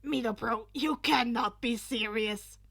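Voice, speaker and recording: A woman's voice: fairly high pitch, unhurried, creaky, a bit bright, no echo, full character voice. Woman playing a chummy, loyal teenage boy hero. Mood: earnest. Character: loyal, easygoing teenage boy hero using buddy nicknames.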